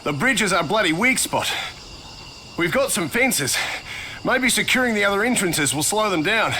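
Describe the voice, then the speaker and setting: A harried Australian camp defender in a zombie video game. His voice sits slightly high, blunt and colloquial, with audible ambience behind it. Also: animated, moderate pace, pushed and bright. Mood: relieved, harried. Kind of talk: video game cutscene acting. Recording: game audio with clear ambient noise.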